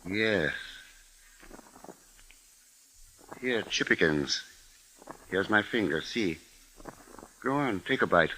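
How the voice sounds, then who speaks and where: An actor playing a grave husband and father in a 1950s radio drama, speaking in a measured, deliberate way. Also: low-pitched, expressive, pushed and bright, slight background noise, exaggerated voice, low-quality recording. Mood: subdued, steady. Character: grim, capable husband and father narrating a siege. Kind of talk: 1950s radio drama acting and first-person narration. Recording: 1950s radio recording with music underscore.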